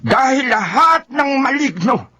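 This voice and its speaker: An actor playing a forceful, commanding folk healer who battles evil spirits in a Filipino radio horror drama, with emphatic delivery and a Filipino accent. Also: male voice, very high-pitched, big pitch swings, slow pace, exaggerated voice. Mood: grave, explaining.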